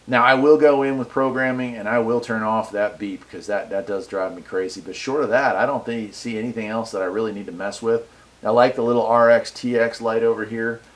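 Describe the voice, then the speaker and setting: An American man giving a casual, enthusiastic hobby review with a folksy way of talking, picked up on a wireless mic. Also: lowish voice. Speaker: radio hobbyist and video reviewer. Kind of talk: casual product review and radio chat. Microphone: wireless mic set between him and the radio.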